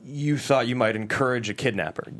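A man's voice: mid-range voice, fairly quick, a bit bright, lightly acted. A man playing a pressing, sardonic plaintiff's lawyer with a pointed, probing manner in a legal drama read. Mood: probing, accusing.

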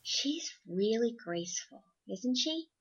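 A woman's voice: fairly high pitch, animated, takes it slowly, fairly bright tone, slightly rough, full character voice. A woman playing a timid, superstitious young woman in an old-time radio drama, with a fretful, pleading delivery. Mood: timid, uneasy.

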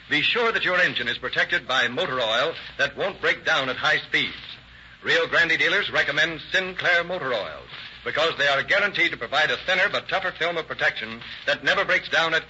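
A male radio announcer with a polished, emphatic 1930s delivery. His voice is fairly high and very bright. The recording is muffled with faint hiss. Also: expressive, a little fast. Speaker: announcer and narrator. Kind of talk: 1930s radio scripted narration and advertising.

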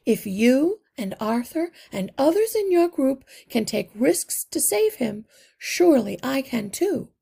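A small, determined mother mouse pleads her case in a raised, earnest storybook voice, as a woman reads her part. Under the lifted pitch the tone stays gravelly and husky. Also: very animated, a little slow, mellow, full character voice.

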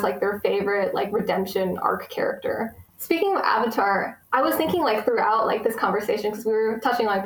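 Chatty young woman in an echoey room. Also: fairly high pitch. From United States. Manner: chatty, many fillers, enthusiastic. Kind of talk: casual conversation.

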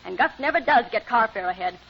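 An actress playing a nosy, outspoken married neighbor with a sharp-tongued manner in an old-time radio comedy. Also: female voice, fairly high pitch, expressive, normal speed, slightly rough. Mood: tart. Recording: muffled old radio recording.

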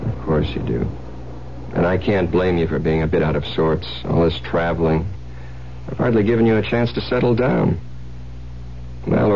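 Smooth talking hubby in old radio drama, jaunty n teasing, lowish voice, bit of noise. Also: male voice. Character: breezy, smooth-talking new husband with sinister secret. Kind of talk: old-time radio thriller acting. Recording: muffled old radio recording with noise, hum.

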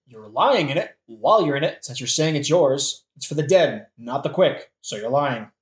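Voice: medium pitch, expressive, moderate pace, lightly acted. An actor in a satirical audio play playing an exasperated, brooding young prince who talks in a casual, sweary, dramatic way. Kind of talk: satirical modern-language audio play acting. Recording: echoey room with faint noise.

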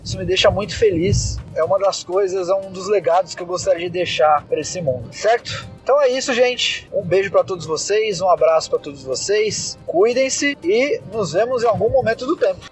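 A man's voice: sits high, lively intonation, fairly quick, faint music behind, low-quality recording. A man with a Brazilian accent talking casually and earnestly as a guest game designer, explaining his work in an enthusiastic, conversational way.

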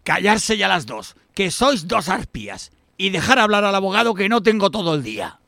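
A man's voice: high voice, sing-song, moderate pace, creaky, sharp tone, no echo, full character voice. A man from Spain playing a greedy, bossy, scornful middle-aged eldest brother in a radio soap. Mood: scornful, impatient. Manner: exclamatory, scornful, sarcastic. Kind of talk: amateur radio soap acting.

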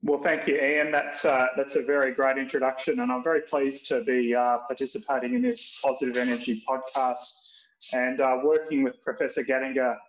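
Australian man giving measured policy remarks. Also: medium pitch, roomy sound, muffled recording. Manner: measured, explanatory, with frequent "uh" fillers and restarts. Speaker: podcast guest, policy researcher. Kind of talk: prepared remarks on a podcast.

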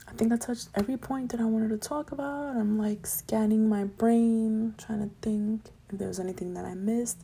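Young woman, solo podcast host, gravelly and a bit hoarse, mellow tone, rambling and preachy about positivity. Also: on the high side. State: voice getting raspy from talking late. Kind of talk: late-night solo podcast monologue.

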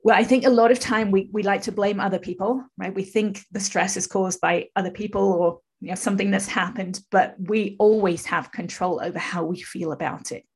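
A woman in her fifties with a husky, creaky voice, earnestly telling her personal story as an interview guest. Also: mid-range voice, fairly even intonation, fairly quick, few pauses. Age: 50s. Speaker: podcast guest, spiritual teacher.

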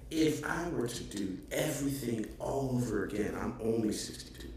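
A man's voice: mid-range voice, expressive, normal speed, a little mellow, recorded from a distance. A man in his thirties, a podcast co-host, talking earnestly and reflectively in casual chat with many filler words. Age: 30s. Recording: echoey, off-mic with faint noise.

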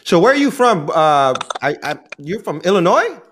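Male co-host from Chicago, animated pitch, casual and stumbling. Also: moderate pace, a little hiss or hum. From Chicago, USA. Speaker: podcast co-host. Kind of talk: casual interview questions.

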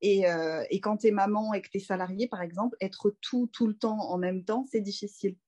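A woman in her thirties from France, a doula speaking as a guest in a relaxed interview, thinking aloud with frequent fillers and restarts. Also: mid-range voice, fairly quick. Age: 30s. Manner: reflective, many fillers and restarts. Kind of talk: casual conversational interview.

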